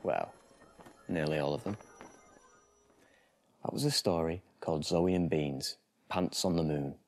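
A British man in his thirties reading a children's bedtime story in a gentle, deliberate, expressive storytelling style. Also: a bass voice, slight background noise. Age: 30s. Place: TV studio set.

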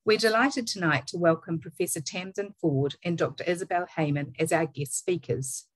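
Woman, New Zealand accent, middle-aged, deep for a woman, measured scripted delivery, dry video call sound. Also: no echo. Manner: measured, formal, reading from a script. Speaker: webinar host. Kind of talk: scripted webinar introduction.

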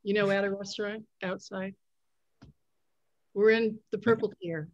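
A woman's voice: medium pitch, fairly even intonation, measured pace, muffled recording. A middle-aged woman making casual interjections as a council member on a video call.

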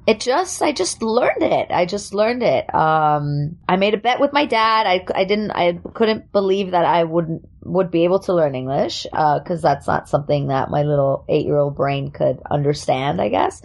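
A woman's voice: lowish voice, animated, normal speed, creaky, hardly any pauses, darkish tone. A woman from Germany raised in New York, with a light New York accent, telling stories as a podcast guest and laughing often. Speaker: podcast guest, comedian and actor. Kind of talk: casual storytelling chat.

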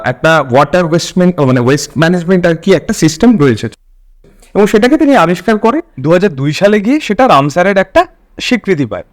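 A man with a West Bengal Bengali accent, laying out background at length as an interviewer. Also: high-pitched. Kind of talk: long, explanatory interview questioning.

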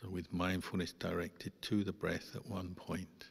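A man, a Buddhist monk, guiding a meditation in calm, measured phrases with pauses. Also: deep voice, creaky, husky. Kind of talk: guided meditation instruction. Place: large reverberant hall. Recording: long hall reverb.

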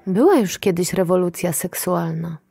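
A woman's voice: fairly low pitch, moderate pace, creaky, dark tone, husky, no echo, soft background music. An actress playing a wary, cynical, sweary woman moonlighting in underground sex work, in a radio play. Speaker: actress in an audio drama.

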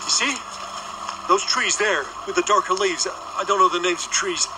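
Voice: mid-range voice, steady tone, normal speed, background noise, close to an everyday voice. Someone as a nervous, hesitant companion. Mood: uneasy. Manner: uneasy, hesitant.

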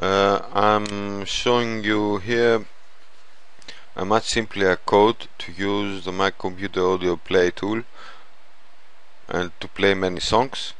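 Slow-talking man giving a halting tutorial. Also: on the low side, level tone, fairly bright tone, smooth. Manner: halting, step-by-step delivery. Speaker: programming tutorial presenter. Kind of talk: informal screencast tutorial narration.

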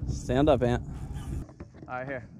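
A man coaching his young sons through catching fish, giving quick, repeated instructions from a small boat. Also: medium pitch. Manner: excited, rapid instructions, repeats himself. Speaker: father fishing with his kids. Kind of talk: casual coaching while filming. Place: small boat on open water outdoors. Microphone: action camera on the boat. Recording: wind and boat noise outdoors.

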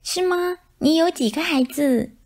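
A woman's voice: sits high, a little slow, darkish tone, voice pushed up. A woman voicing innocent little mouse children in a storybook, eager, friendly and childlike. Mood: sweet, cheerful.

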